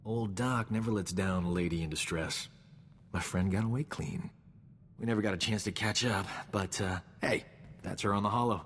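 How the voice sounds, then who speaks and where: An actor playing a cocky, flirtatious roguish combat medic in a video game, with a smug, suave, breezy delivery. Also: male voice, on the low side, normal speed, a bit bright, long reverb tail, a little hiss or hum, close to an everyday voice. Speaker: combat medic companion character. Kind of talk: video game dialogue acting.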